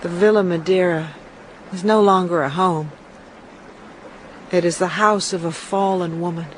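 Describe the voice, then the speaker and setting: An actress playing a disgraced, grieving mother in a radio drama, speaking in a wounded, formal, deliberate way. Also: on the low side, fairly even intonation, a little hiss or hum, lightly acted, poor audio. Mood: wounded, bitter. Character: disgraced, bitter, grieving mother, a fallen woman.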